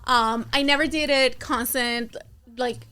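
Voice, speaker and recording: A woman's voice: sits high, fairly even intonation, slow pace, pushed and bright, clean tone, soft background music. A woman from Colombia with a Colombian accent, a food blogger chatting as a guest and often laughing. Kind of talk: casual interview chat.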